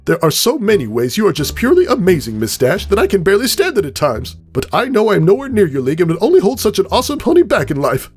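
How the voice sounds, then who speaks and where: A man's voice: high-pitched, wildly swooping pitch, fast, few pauses, faint music behind, voice pushed up. A man voicing a large male cartoon pony who rants in a prim and proper, melodramatic, wordy manner. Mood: flustered confession.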